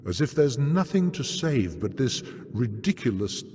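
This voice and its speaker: Game casting: aloof, wry lawkeeper, extremely varied intonation, dark mellow tone, theatrical, reverberant. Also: male voice, mid-range voice, sing-song, a little slow. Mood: solemn. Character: detached male arbiter of cosmic law, wry, aloof. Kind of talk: theatrical video game cutscene acting. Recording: long reverberant hall sound.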